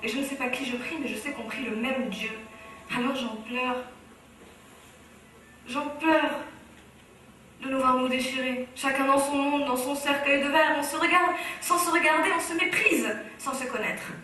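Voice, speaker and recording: A woman's voice: high-pitched, fairly even intonation, normal speed, bright, forceful tone, slight background noise. A Moroccan woman on stage delivering a rhythmic, impassioned spoken-word slam in a large hall. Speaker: speaker. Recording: very echoey hall, from far off.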